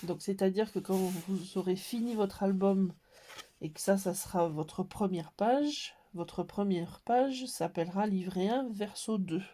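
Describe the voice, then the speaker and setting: A woman's voice: medium pitch, mellow. Woman with a cold explaining a craft. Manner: hesitant, many pauses and fillers. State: has a cold. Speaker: scrapbooking tutorial maker. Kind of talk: casual craft tutorial.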